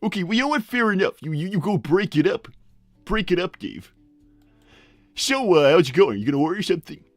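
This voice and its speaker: Male performer as cartoon animal boss, raised pitch, swooping delivery, tactless, rambling, breezy, improvised live roleplay. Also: sing-song, a little fast, no room sound, faint music behind. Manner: rambling, blunt, breezy, many digressions.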